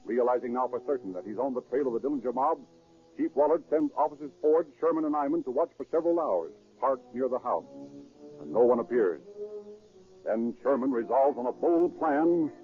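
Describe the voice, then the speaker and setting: A man's voice: mid-range voice, animated, a little fast, narrow, tinny audio. Formal vintage radio crime narrator, American man. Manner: formal, dramatic. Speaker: narrator of a police crime drama. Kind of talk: 1930s radio scripted narration. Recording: thin 1930s radio recording with music.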